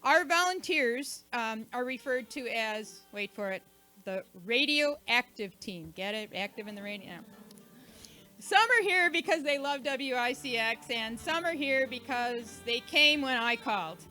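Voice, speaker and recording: Female event host, high and bright, chatty and emphatic, thanking a crowd informally. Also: expressive, measured pace, faint music behind. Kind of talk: informal thank-you speech to a live audience.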